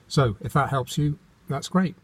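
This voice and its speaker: A man's voice: medium pitch, lively intonation, unhurried, breathy edge. A British man presenting an informal hands-on how-to in an unscripted, matter-of-fact, slightly hesitant manner.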